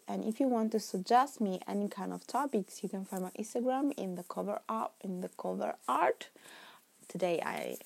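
A woman's voice: medium pitch, creaky. A woman in her twenties from Italy, speaking English with an Italian accent, chatting casually and rambling as she hosts her own podcast, laughing often and sometimes dropping to a whisper. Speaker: podcast host. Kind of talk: casual solo podcast chat.

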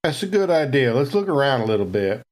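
A man's voice: mid-range voice, big pitch swings, fast talker, a little mellow. A man playing a tabletop roleplaying game, bantering casually in a wry way. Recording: clear background noise.

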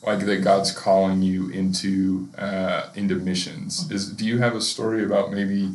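Male podcast host, very deep voice, affirming and rambling, roomy echo. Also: flat delivery, normal speed, darkish tone. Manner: friendly, affirming, rambling questions. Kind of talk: casual podcast interview. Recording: echoey room.

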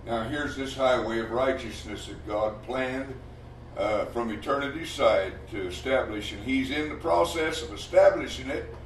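Loud male Bible teacher in a roomy hall. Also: mid-range voice, recorded from a distance. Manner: loud, emphatic preaching with rhetorical questions. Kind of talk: Bible study sermon. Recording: roomy hall, mic from afar, faint noise.

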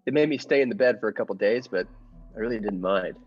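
A man's voice: lowish voice, fast, slightly rough, highs cut off, soft background music. A man playing a meek, nervous henpecked husband who narrates his scheme in a radio play, hesitant, earnest and formal. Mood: anxious recounting. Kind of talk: improvised-cast classic radio play narration.

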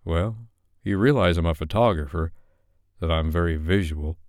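A male narrator acts out a laid-back male lover in a romance audiobook. He has a low voice and a calm, coaxing, reassuring manner. The recording is dry and free of room sound. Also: moderate pace, no room sound, natural voice. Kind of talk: romance audiobook character dialogue.

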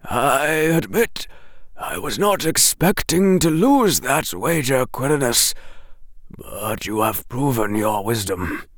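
A man voicing an elderly headmaster in an audiobook, gentle and weary, with a soft, courteous, reluctant delivery. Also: mid-range voice, big pitch swings, slow, no echo, clean studio sound, heavily acted. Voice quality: soft, weakened. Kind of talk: audiobook character voice.

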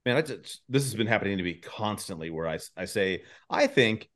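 A man with a fairly low voice hosts a casual podcast chat. He talks in a rambling way, working out his thoughts aloud, and drops swear words easily. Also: lively intonation, fairly quick, fairly bright tone. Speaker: podcast host.

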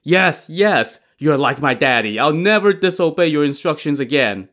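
A man's voice: on the high side, expressive, moderate pace, fairly bright tone, voice pushed up. A man voicing a frantic, gullible male traveler in a story, whining and pleading in exclamations. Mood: panicked.

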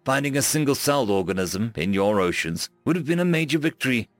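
A man's voice: medium pitch, expressive, fairly quick, no room sound, soft background music, natural voice. A man voicing a friendly male human starship captain who talks earnestly and gets a little flustered.

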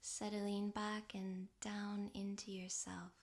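A woman guiding a relaxation meditation in a soft, breathy, half-whispered voice, gently and with long pauses. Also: mid-range voice, flat delivery. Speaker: yoga nidra meditation guide.

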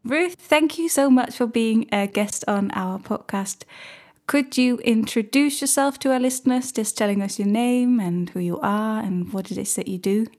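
Gravelly-voiced woman hosting a podcast. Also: fairly high pitch, moderate pace, darkish tone. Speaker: podcast host. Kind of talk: podcast interview.

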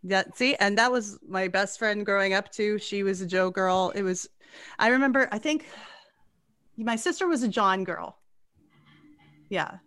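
A woman's voice: mid-range voice, unhurried, long pauses, a bit bright, clean tone, no room sound, studio quality. A woman in her forties hosting a podcast interview, chatty and effusive, laughing often. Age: 40s. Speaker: podcast host. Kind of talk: casual interview chat.

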